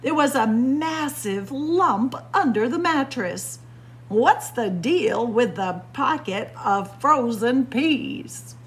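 A woman's voice: on the high side, lively intonation, takes it slowly, a little hiss or hum. A woman reading a storybook, voicing a spoiled, fussy young princess who complains in a whiny, emphatic way. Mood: indignant. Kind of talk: storybook read-aloud.

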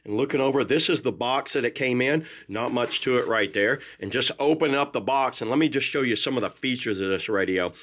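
A man's voice: medium pitch, brisk, few pauses, a bit bright. Middle-aged man, casual gear reviewer. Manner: informal, folksy phrasing with "y'all" and "gonna". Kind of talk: casual unboxing and product review. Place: indoors at a desk.

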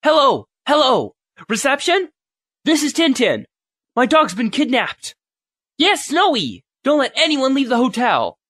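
A man playing an earnest, excitable young reporter in an audio drama. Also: very high-pitched, a little slow, heavily acted. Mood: alarmed.

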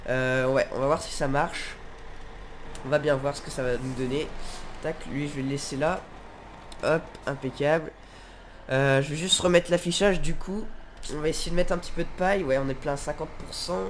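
A man's voice: a little high, fairly even intonation, moderate pace, a little hiss or hum. A man with a nasal, stuffed-up voice from a head cold, giving casual, hesitant let's play commentary as he thinks aloud. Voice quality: congested, nasal. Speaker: gaming video host.

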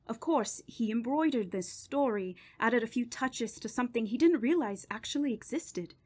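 Occult doctor, female actress, radio horror: fairly high voice, grave, commanding, deliberate delivery. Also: expressive, a little mellow. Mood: grave, explanatory. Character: grave, commanding woman doctor who studies evil spirits. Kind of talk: radio horror drama acting.

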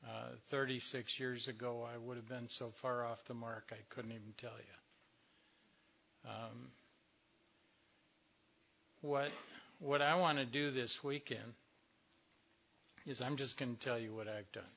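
An older man, a little hoarse, giving a slow, reflective talk to a recovery meeting with many pauses. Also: medium pitch, steady tone, sharp tone, muffled recording, slight background noise. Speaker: speaker at a recovery workshop.

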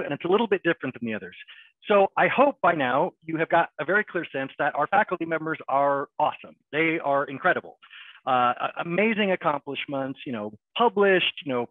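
A man hosts an online event, chatting casually between videos with plenty of fillers. His voice sits fairly high for a man. The sound is tinny and dry, like a phone line. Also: dry recording. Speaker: event host. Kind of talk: casual presenting.

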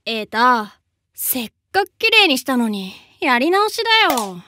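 Whiny young boy student, very high, bright voice, plaintive and easily rattled, anime drama female actor. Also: very animated, a little slow, dry recording, clean studio sound, heavily acted. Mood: panicked, whining.

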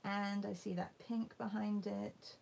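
A woman talks through a live painting demo in a medium-pitched voice with plenty of fry. She is halting and musing, half speaking to herself as she works. There is a faint noise behind her. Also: steady tone, a little mellow. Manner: halting, musing, murmurs to self while working. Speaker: art demonstrator. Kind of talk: live painting demo, casual thinking aloud. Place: indoors at a painting table.

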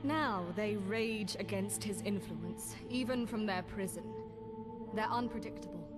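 A woman playing a solemn, loyal demon warrior in scripted game dialogue, measured and formal. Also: mid-range voice, over loud music, lightly acted.

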